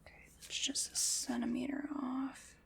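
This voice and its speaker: A woman whispering breathily in an ASMR roleplay, soft, unhurried, formal and reassuring. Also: high voice, flat delivery, gravelly.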